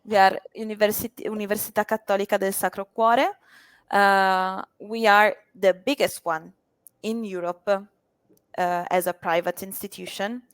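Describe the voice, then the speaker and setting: Italian woman talking about her uni, smooth clear voice, lots of ums and uhs, dry sound. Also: medium pitch, fairly even intonation, measured pace, dry recording. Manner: hesitant, many fillers. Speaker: university representative. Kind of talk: interview answers.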